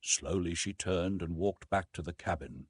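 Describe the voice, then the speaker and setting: A man narrating a classic novel in an expressive, literary storytelling style. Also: low-pitched, normal speed, no room sound, studio quality. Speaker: audiobook narrator.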